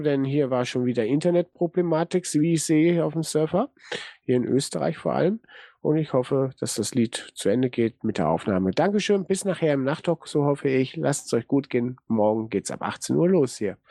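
A man's voice: a little high, expressive, moderate pace, mellow, no echo. A man hosting a live online radio show in a casual, rambling way, thanking his guests and listeners. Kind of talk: casual live broadcast chat.

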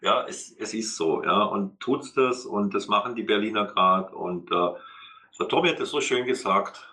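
A man's voice: lowish voice, lively intonation, moderate pace, pushed and bright. A man as host giving rambling closing remarks to an audience, laughing between phrases.

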